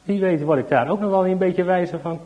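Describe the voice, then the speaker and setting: Man doing a stern policeman, impatient, firm orders, slightly high voice, storybook. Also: brisk, dull audio, natural voice.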